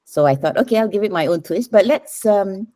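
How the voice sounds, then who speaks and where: A woman's voice: medium pitch, animated, fairly quick, dark tone. A woman with a Malaysian accent explaining her work conversationally as an interview guest. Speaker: writer, podcast guest. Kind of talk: casual interview answers.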